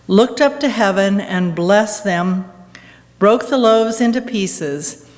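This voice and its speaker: A woman reading scripture aloud in a measured, deliberate way. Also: fairly low pitch. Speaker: scripture reader. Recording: long echoing church-hall reverb.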